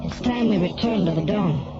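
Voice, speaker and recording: A man playing a dutiful alien aide to a military commander in a science fiction radio drama, formal and measured. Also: sits high, lightly acted. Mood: formal concern. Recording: dull lo-fi recording, loud music behind.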